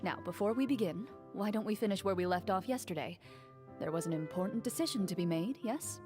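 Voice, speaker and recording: An actress playing a composed, formal young woman in an anime video game, measured and polite as she leads the group. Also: medium pitch, over music, close to an everyday voice. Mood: calm, poised.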